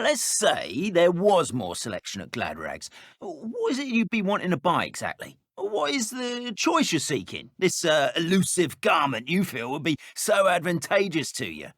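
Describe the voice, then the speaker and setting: A fussy male henchman from a fantasy game. His British voice is fairly high and swoops dramatically, recorded dry and close. He is polite, wordy and primly indignant. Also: fairly bright tone, no echo, heavily acted. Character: fussy, affronted, polite male dark wizard criminal. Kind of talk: video game voice acting.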